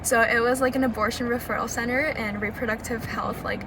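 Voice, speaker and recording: A woman's voice: a little high, fairly even intonation, a little fast, background noise. A young woman from Thailand, chatting casually as an interview guest, laughing often. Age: young adult. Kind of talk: casual chat.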